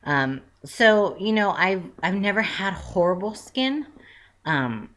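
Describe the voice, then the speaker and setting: A woman's voice: mid-range voice, animated, slow, fairly bright tone. A woman in her late twenties giving a casual, rambling update to her viewers, with frequent "um" fillers and self-corrections. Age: 20s. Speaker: vlogger.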